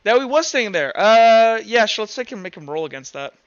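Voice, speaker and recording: A man improvising narration as game master of a tabletop roleplay session, halting and thinking aloud as he goes. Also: sits high, big pitch swings, muffled recording.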